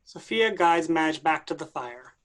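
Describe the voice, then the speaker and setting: A woman's voice: deep voice, lively intonation, unhurried, fairly bright tone. A woman giving scripted narration, reading out the stage directions of a play.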